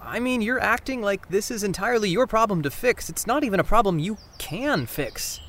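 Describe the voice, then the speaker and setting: A man's voice: sits high, lively intonation, fairly quick, a bit bright. A man playing a sceptical, sardonic teenage boy in a naturalistic audio drama, cautious and questioning. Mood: exasperated.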